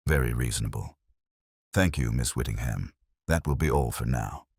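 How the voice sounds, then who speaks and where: Probing male detective of Victorian fiction, read by a man, very deep, formal, measured, dry close sound. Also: a little mellow, breathy edge, no echo, full character voice. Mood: quietly inquisitive. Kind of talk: audiobook character dialogue.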